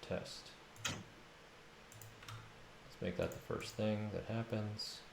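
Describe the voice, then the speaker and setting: A man with a low, creaky voice talks casually and half to himself while coding. The room is echoey, with clear noise, typing and mouse clicks around him. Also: fairly even intonation, takes it slowly. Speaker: game developer streaming his work.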